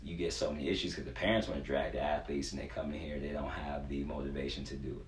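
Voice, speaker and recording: A man in his twenties with a very low voice talks in a casual, slangy way, like a coach in an interview. The room around him is echoey, with a faint noise underneath. He comes across as earnest and informal. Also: flat delivery, fast. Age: 20s. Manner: informal, slangy, earnest, frequent "you know". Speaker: youth sports performance coach, interview guest.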